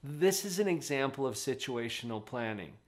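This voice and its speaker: Brief: man, mid-pitched, parenting coach, chatty and emphatic, office setting. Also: animated, normal speed. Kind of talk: direct-to-camera parenting advice.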